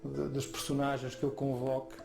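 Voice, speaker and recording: A man with a European Portuguese accent, a native Mirandese speaker, reflecting in an interview in a measured, hesitant, rather formal way. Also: mid-range voice, monotone, vocal fry, over music. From Miranda region of Portugal. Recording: roomy echo.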